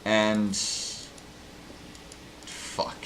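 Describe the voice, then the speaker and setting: A man's voice: lowish voice, monotone, extremely slow, a bit bright, slight background noise. A young man from Norway with a Norwegian accent, co-hosting a casual podcast chat, rambling and joking. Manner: rambling, jokey, loses his train of thought. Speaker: podcast co-host.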